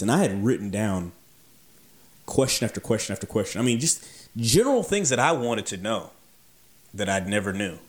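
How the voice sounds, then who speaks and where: A man casually telling a long workplace anecdote, acting out the dialogue with animated energy. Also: lowish voice. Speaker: beer delivery storyteller.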